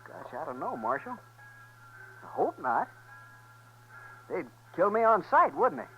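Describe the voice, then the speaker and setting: A man's voice: on the high side, very animated, unhurried, dull audio, soft background music. An actor playing a jumpy, cowardly man in a 1950s radio western, stammering and hesitant. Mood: nervous. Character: jumpy, cowardly man, frightened reluctant witness. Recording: noisy old radio recording with hum.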